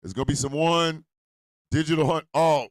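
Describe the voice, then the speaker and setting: A man hosting an internet radio show and doing comedic ad reads in a loud, rambling, jokey way. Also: on the high side, fairly even intonation, normal speed, no echo, poor audio.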